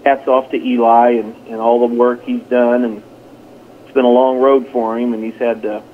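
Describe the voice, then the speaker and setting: A man speaks over a narrow, tinny telephone connection with faint noise. He is a coach being interviewed casually, and he talks in a plain, folksy way at an average pitch. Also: flat delivery, normal speed. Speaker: high school track and field coach. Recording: tinny phone line with noise.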